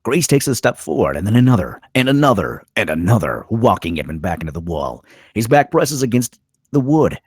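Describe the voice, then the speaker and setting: A man reads screenplay directions as a dramatic narrator. His voice is very deep and husky, swinging widely in pitch, in a dry recording. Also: sing-song, a little fast, a little mellow, no echo.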